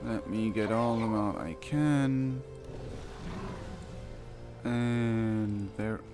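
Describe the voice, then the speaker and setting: A man hosts a casual game playthrough at an unhurried pace, with game music clearly behind him. His voice sits at an ordinary pitch, and he drops dry, wry asides between stretches of reading. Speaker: gaming video host. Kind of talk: casual game playthrough commentary, reading quest text aloud. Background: video game music.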